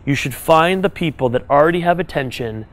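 A man giving direct business advice to a live audience, animated and conversational, checking in with "right?" as he goes. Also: a little high, fairly quick. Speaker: business speaker advising an audience. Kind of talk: live talk to a room audience.

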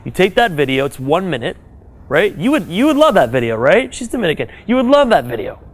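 Male speaker, live audience talk, energetic and direct, slightly higher register. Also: a little fast. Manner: animated, direct, second-person advice with frequent "right?". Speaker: business speaker advising an audience.

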